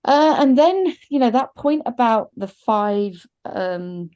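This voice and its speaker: A woman's voice: a little high, lively intonation, slow, mellow. A middle-aged woman in casual discussion as a podcast co-host, talking in a thoughtful, hedging, conversational way on a video call. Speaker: podcast co-host reviewing films. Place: indoors at a desk, video call with virtual backdrop.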